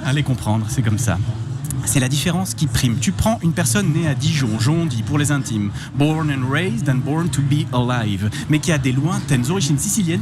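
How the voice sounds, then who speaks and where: Brief: thirties Spanish-born comedian, higher lively voice, playful and teasing, loud music underneath. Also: male voice, heavy background noise. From Spain. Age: 30s. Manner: playful, animated, self-mocking. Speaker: comedian and offbeat reporter, contest candidate. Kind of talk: live comic chronicle on radio. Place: radio fair stand, live duplex. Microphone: headset with headphones.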